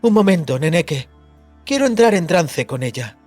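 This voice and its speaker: A man's voice: a little high, sing-song, normal speed, darkish tone, soft background music, voice pushed up. Man playing an earnest, determined sorceress. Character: determined, devoted young sorceress, frank and outspoken.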